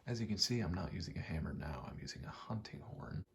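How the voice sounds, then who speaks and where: A soft-spoken man giving rambling ASMR game commentary, halting and casually profane, often slipping into a whisper. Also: sits low, sing-song, gravelly, slight background noise. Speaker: gaming video creator.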